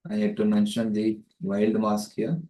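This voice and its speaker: A man's voice: on the low side, completely flat delivery, measured pace, warm tone. A man giving a methodical, step-by-step tutorial narration as a networking tutor, recorded with roomy echo on a distant microphone. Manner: methodical, explanatory.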